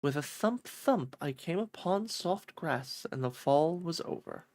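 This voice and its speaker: A streamer voicing a pensive young heroine narrating a fantasy visual novel in a measured, wondering way. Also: medium pitch, lively intonation, darkish tone. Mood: calm narration.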